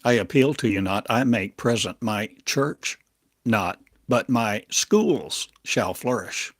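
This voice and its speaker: Man, lowish voice, very slow and measured, halting oracular channeling delivery, dry close sound. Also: expressive, no echo. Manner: halting, fragmented, oracular phrasing with coined words. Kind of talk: prophetic channeled monologue.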